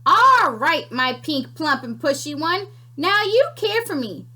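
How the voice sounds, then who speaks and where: A woman voicing a small, bossy female sand creature in a storybook read-aloud, speaking slowly with a crackly voice. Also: sits high, very animated, bright, forceful tone, voice pushed up, heavily acted. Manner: slow and drawn out.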